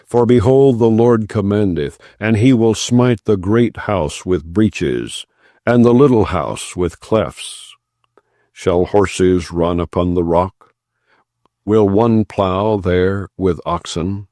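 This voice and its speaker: Deep-voiced man reading scripture, slow and measured. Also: dark tone, no echo, studio quality. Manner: measured, declamatory. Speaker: audiobook narrator. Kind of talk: solemn scripture reading, non-dramatized.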